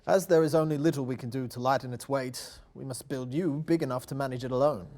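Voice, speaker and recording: A man's voice: medium pitch, steady tone, quick pace, warm tone, close to an everyday voice. A man playing a weary, humble father who once led his tribe, at a table read of a fantasy drama. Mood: grave, resigned.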